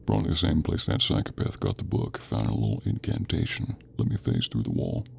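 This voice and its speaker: Man acting a very deep, gravelly monster hunter. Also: very animated, a little fast, darkish tone, a little hiss or hum, heavily acted. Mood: apologetic, explaining. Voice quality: gravelly, very low, creaky. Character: gruff male monster hunter, stern, protective, darkly tender. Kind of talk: audio roleplay acting addressed to the listener.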